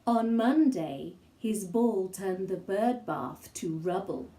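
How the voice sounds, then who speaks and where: A woman reading a rhyming children's picture book aloud, slowly and theatrically, in an expressive storytelling style. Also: medium pitch, roomy sound. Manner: theatrical, slow and deliberate, with gasps and asides. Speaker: storybook reader.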